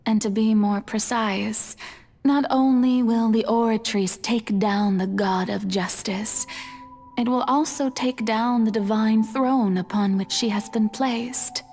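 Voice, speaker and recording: Serene, slow-speaking playful goddess. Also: female voice, on the high side, fairly even intonation, a little mellow, slight background noise. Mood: playful, teasing. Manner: theatrical, teasing, measured, formal diction. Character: serene, playful female god, centuries old, quietly resolute. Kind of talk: dramatic video game voice acting. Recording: music behind the voice. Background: music.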